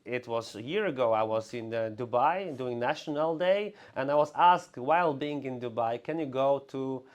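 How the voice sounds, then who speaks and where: A man's voice: mid-range voice, lo-fi sound. Hesitant male host. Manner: hesitant, halting, full of "uh". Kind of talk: interview with many fillers and restarts. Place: clinic in Warsaw.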